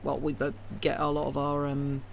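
A woman's voice: deep voice, normal speed. A woman chatting casually and ramblingly to a live audience as a stream host, wry, opinionated and enthusiastic. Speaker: livestream host who reads classic novels aloud. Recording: clear steady background noise.

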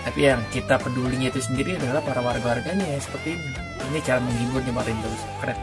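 A middle-aged man comments casually, chatty and quick to laugh. He talks through an earphone mic in a small studio. Clear music plays behind him. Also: medium pitch. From Indonesia. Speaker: reaction video host. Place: green-screen studio room.

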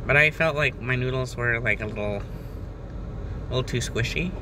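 A man's voice: medium pitch, moderate pace, background noise. A young man casually reviewing a restaurant meal, talking plainly and hesitantly with many fillers. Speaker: food vlogger. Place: restaurant, then parked car.

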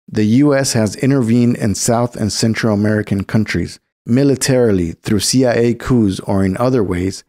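Low-voiced man reading a political essay. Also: warm tone, no echo, studio quality. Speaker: program narrator. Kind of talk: scripted narration of a political essay.

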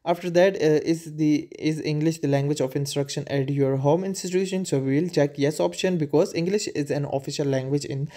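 A man's voice: fairly high pitch, fairly even intonation, a little fast, few pauses, mellow. A man whose first language is Urdu, walking through a screen-recorded tutorial with frequent hesitations and filler sounds. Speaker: tutorial presenter.

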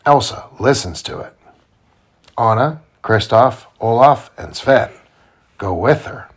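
A man reading a children's storybook aloud to his child in simple, deliberate phrasing. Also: medium pitch, expressive, slightly rough. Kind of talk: children's read-aloud narration.

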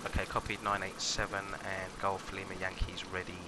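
A man's voice: low voice, completely flat delivery, normal speed. A man speaking as a flight instructor and pilot in a flight-simulator lesson, making radio calls. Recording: engine noise behind the voice. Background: aircraft engine drone from simulator.

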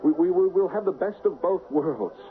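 An actor playing an exasperated, weak-willed young fiancé in a radio drama, stammering and defensive. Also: male voice, high-pitched, normal speed, a little hiss or hum, close to an everyday voice. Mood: defensive agitation. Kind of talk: 1970s radio drama acting. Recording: muffled 1970s radio broadcast, faint music.

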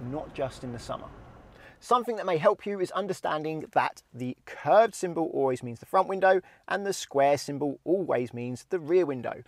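A man's voice: on the high side, darkish tone. A man in his thirties with a British accent, explaining things step by step as a driving instructor in plain, informal words. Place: inside a parked car. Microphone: lapel mic.

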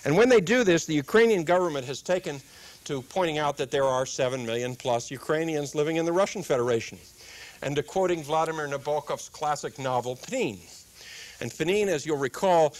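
A man's voice: a little high, brisk. A middle-aged man with a dry, wry humor, giving a public lecture at a desk microphone in a lecture room. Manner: dry wry humor, quotes with comic timing. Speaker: guest speaker.

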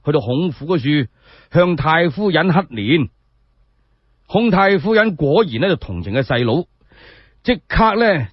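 A man narrates a historical story solo, in a colloquial, expressive radio-drama style. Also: on the high side, no echo. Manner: colloquial, expressive, with frequent spoken particles. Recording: dry, dull lo-fi radio-style recording.

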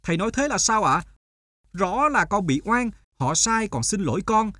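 An actor playing an earnest, aggrieved young male student in an animated folk tale, protesting in a plaintive, emphatic way. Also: high-pitched, moderate pace, dry recording, clean studio sound, heavily acted.

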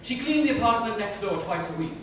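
A woman's voice: medium pitch, expressive, fast, a bit bright. A woman giving a dramatic reading of literary fiction. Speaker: book reader. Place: indoor club lounge. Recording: echoey room, distant mic, faint noise.

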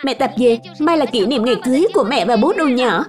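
A woman's voice: sits high, animated, fast, darkish tone, faint music behind. A woman voicing an eager, innocent little boy in a dubbed children's cartoon, childlike and earnest.

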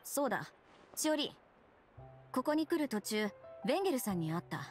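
A woman's voice: high-pitched, big pitch swings, normal speed. A woman playing a stern young police captain in an anime video game, speaking in a clipped, official, authoritative manner. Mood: composed, approving. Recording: game music behind the voice.

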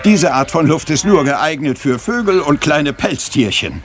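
An actor playing a fussy, courteous detective in a German radio crime drama, with a pompous, polite manner. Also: male voice, fairly high pitch, big pitch swings, measured pace, faint music behind. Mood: fussy, disdainful. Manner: polite, pompous, peppered with French words. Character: fussy, courteous Belgian detective, middle-aged man.

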